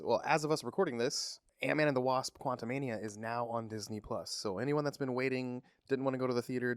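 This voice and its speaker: Male co-host, thirties, mid-pitched, excited and chatty, dry close mic. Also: smooth, no echo. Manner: enthusiastic, laughs often. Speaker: podcast co-host. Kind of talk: casual pop culture chat.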